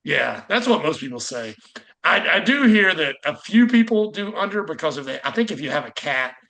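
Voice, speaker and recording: Chatty man with a bright, higher-pitched voice. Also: very animated, moderate pace, gravelly. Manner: chatty, informal, rambling. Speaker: podcast host interviewing guests. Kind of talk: casual conversational interview.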